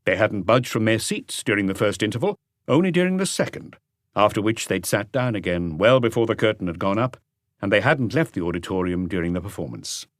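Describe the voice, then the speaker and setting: A man reading a crime novel as an audiobook, in measured, articulate scripted narration. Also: on the low side, lively intonation, no room sound, studio quality.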